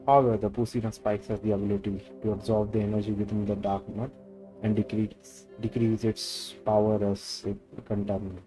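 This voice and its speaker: A man's voice: fairly low pitch, level tone, brisk, dark tone, faint music behind, poor audio. A man with an Indian accent live-streaming a game, switching between English and Hindi as he reads story dialogue aloud and comments.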